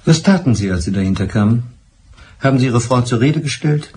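An actor in a German radio drama playing a blunt, exasperated police inspector who questions in a curt, impatient way. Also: male voice, mid-range voice, expressive, brisk, darkish tone, slight background noise, close to an everyday voice. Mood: probing.

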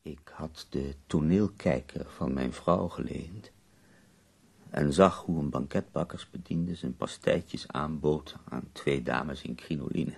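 An actor playing an ailing, melancholy but wry poet in a radio play, his voice gravelly, husky and weary. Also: a bass voice, slow, a little mellow, full character voice. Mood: wry, resigned.

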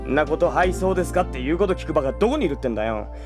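An actor playing a cocky, irreverent young police detective in a Japanese audio drama, brash and slangy. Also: male voice, on the high side, animated, racing, over music, lightly acted. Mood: irritated, brash.

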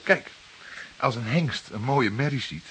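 A man's voice: mid-range voice, animated, a little slow, a bit bright, poor audio. An actor with a Netherlands Dutch accent playing a younger detective sidekick in a radio drama, inquisitive and teasing towards his senior partner. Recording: hissy old radio play recording.

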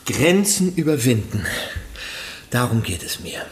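An actor playing an eager, courteous idealist in a German radio play, speaking formally, earnestly and persuasively. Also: male voice, medium pitch, sing-song, measured pace, breathy edge, full character voice. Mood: polite, eager. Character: eager, courteous, persistent idealist and language enthusiast.

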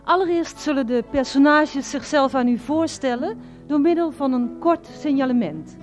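A woman's voice: sits high, normal speed, soft background music. A woman presenting and narrating a radio play from a script.

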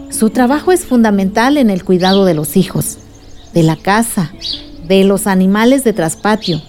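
An actress as mellow, earnest village storyteller woman. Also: medium pitch, natural voice. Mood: recalling, earnest. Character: adult village woman, patient storyteller and activist. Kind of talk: radio drama dialogue and narration.

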